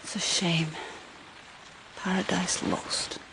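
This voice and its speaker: A woman's voice: fairly low pitch, level tone, takes it slowly, vocal fry, noisy background. An actress playing a thoughtful, assertive female police constable in a radio crime drama, earnestly searching for her words. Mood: pensive. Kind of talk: naturalistic radio drama acting.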